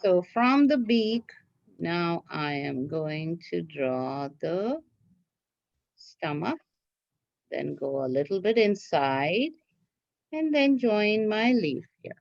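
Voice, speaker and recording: Female craft teacher for kids, unhurried and patient, repeats each step; dry, slightly dull lo-fi sound. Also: on the low side, sing-song, creaky, a little mellow, dry recording. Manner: patient, repetitive, checks with listeners. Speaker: teacher leading a children's craft class. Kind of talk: step-by-step craft instruction for children.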